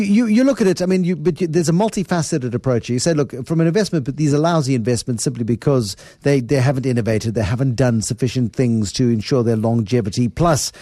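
A man's voice: mid-range voice, warm tone, no echo, studio quality. A man hosting a radio interview, asking probing questions and often restarting his phrases. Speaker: radio host.

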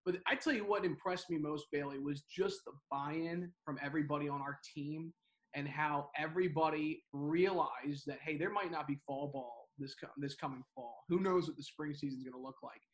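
A man's voice: on the high side, normal speed, rough recording. A young man co-hosting a casual sports podcast, rambling with many fillers and restarts. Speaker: baseball broadcaster, co-host.

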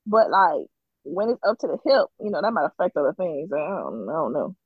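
Woman co-host, husky and animated, rambling and giggly, dull muffled line. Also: low-pitched, fairly quick, no echo. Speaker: podcast co-host. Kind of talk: casual group chat.

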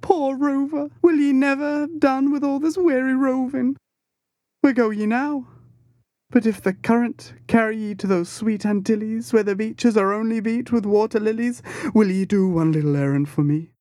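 A man's voice: extremely high pitch, steady tone, normal speed, dark tone, dry recording, clean studio sound, pitched above the performer's own voice. A man voicing a mad young cabin boy in an audiobook, grieving and frenzied, with wild chanting and repeated laments. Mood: plaintive, tender.

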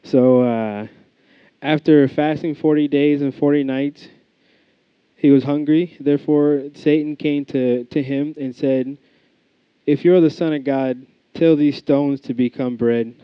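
A man's voice: on the high side, fairly even intonation, measured pace, clean tone, no room sound, lo-fi sound. Casual young man preaching, dry sound. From United States. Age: young adult. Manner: casual, rambling, many fillers and restarts. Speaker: student preacher. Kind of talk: informal sermon with prayer and testimony.

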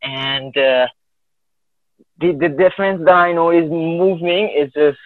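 A man's voice: fairly high pitch, measured pace, no echo. Man on a tinny line, hesitant. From Italy. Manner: hesitant, many restarts and fillers. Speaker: guest. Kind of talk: casual conversation.

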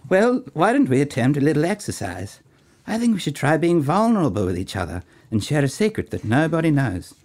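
A man's voice: on the high side, wildly swooping pitch, fairly quick, a little mellow, exaggerated voice. Man putting on a coaxing motherly older woman, swooping voice. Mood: soothing, confiding. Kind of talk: radio play acting.

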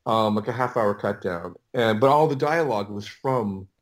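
A middle-aged man telling stories as an audio producer in a casual interview, halting and rambling as he repeats and restarts his words. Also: on the low side.

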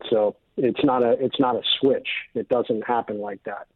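A man's voice: mid-range voice, steady tone, moderate pace, no echo. American male, middle-aged veteran type, earnest and emphatic interview guest, dry lo-fi radio sound. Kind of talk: earnest opinion talk.

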